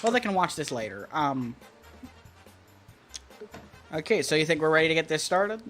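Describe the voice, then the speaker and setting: Hesitant, higher-voiced man on a livestream. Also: sing-song, normal speed, fairly bright tone. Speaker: live streamer co-hosting. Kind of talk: casual chat. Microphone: close XLR microphone on a stand. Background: music and keyboard typing.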